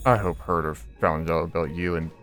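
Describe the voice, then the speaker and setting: A man's voice: deep voice, steady tone, a little fast, a bit bright, faint music behind. A man giving casual gameplay commentary in a blunt, irritated way with heavy swearing. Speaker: gaming video maker.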